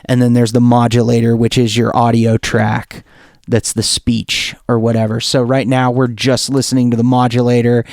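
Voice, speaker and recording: A man with a mid-pitched voice walks through software in a casual tutorial style. He talks informally, thinking aloud, and the sound is close and dry. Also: completely flat delivery, moderate pace, dry recording. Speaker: music producer demonstrating software.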